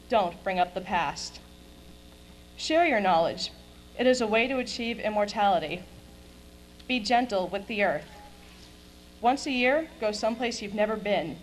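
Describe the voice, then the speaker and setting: Noisy recording of a young woman speechmaking. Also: fairly high pitch. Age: young adult. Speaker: graduating student speaker. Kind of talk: prepared graduation speech. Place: high school graduation ceremony, at a podium. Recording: clear hum and noise of event video.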